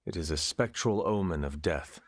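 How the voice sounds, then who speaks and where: An actor playing a solemn, earnest resurrected demon hunter in a video game, speaking formally and with measured phrasing. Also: male voice, low-pitched, no room sound. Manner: formal, measured, avoids contractions. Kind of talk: video game cutscene acting.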